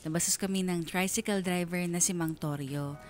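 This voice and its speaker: A woman's voice: fairly low pitch, steady tone, moderate pace, a little mellow. A Filipino woman radio DJ reading a scripted story as narration. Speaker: radio DJ narrating a listener's letter. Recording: music under the voice.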